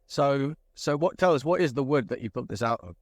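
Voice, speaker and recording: A man's voice: medium pitch, animated, fairly quick, dry recording. A man asking questions as an interviewer in a casual, conversational style.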